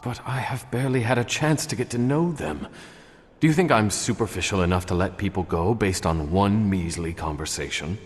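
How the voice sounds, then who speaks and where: An actor playing a courtly, brooding vampire lord in a video game, speaking in a formal, wry, deadpan way. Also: male voice, on the low side, normal speed, slight background noise, lightly acted. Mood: polite, dry. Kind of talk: video game character acting. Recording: long reverb, music underneath.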